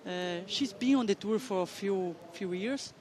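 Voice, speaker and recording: A woman with a Brazilian Portuguese accent, a former pro surfer commentating live, halting with many fillers and restarts. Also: medium pitch, a little mellow.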